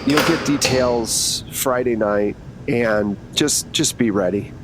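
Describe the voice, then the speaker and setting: A man's voice: mid-range voice, slow pace, heavy background noise. A man voicing a female government agent who bargains in a coolly controlled, businesslike way in a tabletop game. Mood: wry.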